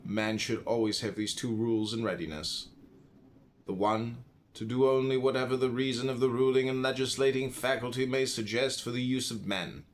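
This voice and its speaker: A man from the United States reading a philosophical text aloud in a measured, deliberate way, phrase by phrase with pauses. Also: medium pitch, fairly even intonation. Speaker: audiobook reader.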